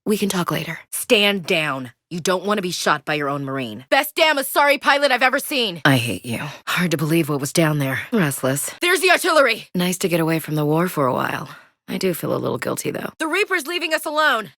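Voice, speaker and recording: A woman's voice: fairly low pitch, sing-song, a little fast, fairly bright tone, dry recording, heavily acted. An actress playing a tough young female marine soldier in a video game, blunt and soldierly with dry wit. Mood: shouting. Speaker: squad soldier.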